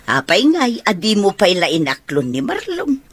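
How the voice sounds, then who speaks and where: A woman's voice: medium pitch, huge pitch swings, a little slow, background noise. A woman playing an older, teasing village mother and matchmaker in an audio drama, coaxing and nagging. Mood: playful.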